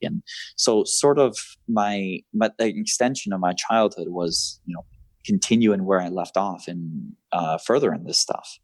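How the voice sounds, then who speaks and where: A man in his thirties, a military veteran and freelance writer, answering interview questions in a measured, thoughtful way with many fillers and restarts. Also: sits low, no echo. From grew up in Southeast Asia.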